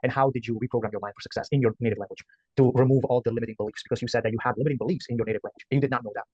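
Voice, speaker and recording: Man asking a question, polite and quick, dry muffled call audio. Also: mid-range voice, steady tone, darkish tone, husky, dry recording. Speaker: workshop participant.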